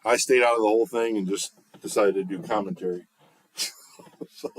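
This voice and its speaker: A man with a bass voice chatting casually on his livestream, relaxed and rambling with lots of fillers and restarts. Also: fairly quick, a little hiss or hum, poor audio. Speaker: livestreamer and recording artist. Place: home, off-grid.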